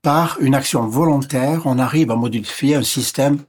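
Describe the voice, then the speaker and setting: A man with a slightly husky, breathy voice, whose first language is English, talks as a physician guest. His pitch sits in an ordinary middle range. He explains in a measured way, listing and restating his points. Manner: measured, lists and repeats points while explaining. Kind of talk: explanatory interview talk.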